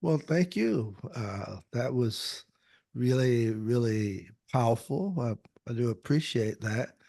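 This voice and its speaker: A male podcast host who speaks very slowly and hesitates often, with frequent fillers and restarts. His voice sits at an ordinary middle pitch, recorded close and dry with no room sound. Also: lively intonation, vocal fry, mellow, dry recording. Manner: slow, hesitant, many fillers. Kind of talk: podcast interview.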